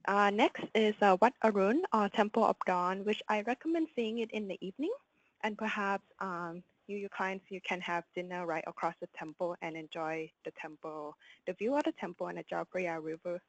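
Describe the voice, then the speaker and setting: A woman's voice: mid-range voice, moderate pace, dry recording, rough recording. Thai-accented woman giving a webinar. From Thailand. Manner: friendly, informative, with frequent "uh" fillers. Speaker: guest presenter. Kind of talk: webinar presentation.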